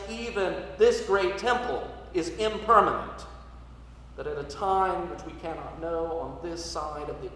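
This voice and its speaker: A man preaching a sermon as a priest, in a measured, rhetorical delivery that swells with emphasis and drops to quiet. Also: a little high. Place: large church interior, live service. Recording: echoey church, distant mic, some noise.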